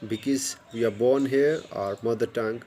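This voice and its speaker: A man's voice: mid-range voice, moderate pace, mellow, slight background noise. Earnest Pakistani man teaching English. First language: Urdu. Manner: earnest, repeats words and restarts often. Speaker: YouTube English tutor. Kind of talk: casual explainer lesson to camera. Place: outdoors by a brick wall. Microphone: wired earphone mic, phone at arm's length.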